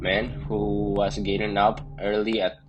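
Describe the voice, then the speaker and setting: A man's voice: on the low side, level tone, measured pace, rough recording. A man reading a scripted story as the narrator. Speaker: student narrator of a class radio drama. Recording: voice under loud background music. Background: loud music.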